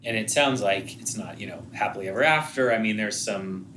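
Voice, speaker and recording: American man, thirties, podcast host, lowish pitch, casual interviewing, clear background noise. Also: fairly quick, a bit bright.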